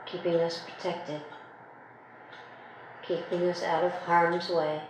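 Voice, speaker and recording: Female meditation guide. Gentle, unhurried, long pauses. Very reverberant room, faint noise. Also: fairly low pitch, little pitch movement. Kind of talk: guided meditation, soothing slow instruction.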